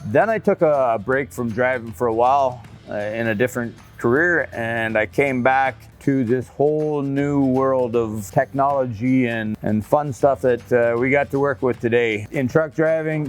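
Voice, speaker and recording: A middle-aged trucker talks casually to his viewers, rambling through his asides and laughing often. His voice sits at an everyday pitch, with faint music playing underneath. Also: moderate pace. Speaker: truck driver vlogger. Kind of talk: casual talk to camera.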